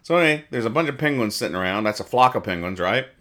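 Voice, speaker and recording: A man hosting a casual comedy podcast, describing comic strips aloud and explaining their jokes in a rambling, halting, mock-serious way. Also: lowish voice, lively intonation, sharp tone. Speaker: podcast host reading newspaper comics.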